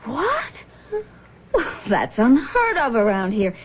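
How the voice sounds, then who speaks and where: An actress playing a sensible, concerned grown daughter in a radio drama, gentle and questioning. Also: female voice, fairly high pitch, huge pitch swings, slow pace, a little hiss or hum, exaggerated voice. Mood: puzzled. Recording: muffled band-limited old radio recording.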